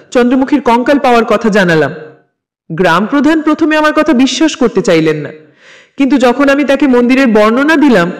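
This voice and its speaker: A man reading a scripted first-person horror story in a dramatic, suspenseful storytelling manner. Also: very high voice.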